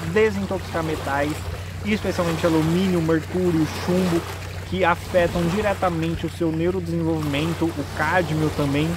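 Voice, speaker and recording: A man in his twenties with a Brazilian accent, explaining things informally to camera as a vlogger. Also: a little high. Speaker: vlogger giving nutrition advice. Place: outdoors in a sunny park-like area. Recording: noisy outdoor recording, clear background noise.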